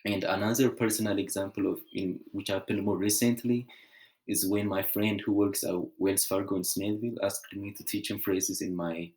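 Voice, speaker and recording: A young man from Ethiopia, giving an earnest, measured prepared presentation with small restarts. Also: on the low side, steady tone, darkish tone, poor audio.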